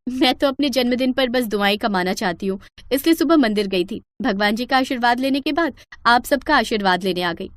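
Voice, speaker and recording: A woman's voice: fairly high pitch, brisk, no echo, lightly acted. A woman voicing a polite young new bride who makes a show of virtue in a moral story. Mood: sweetly pious. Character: sweet, falsely pious young new bride. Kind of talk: acted moral-story dialogue.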